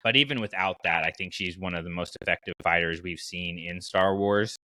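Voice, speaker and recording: A man with a fairly low but bright, forward voice, recorded close and dry. He hosts a casual fan podcast and explains his thinking conversationally, wandering into asides. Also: brisk, no echo, low-quality recording. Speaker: podcast host.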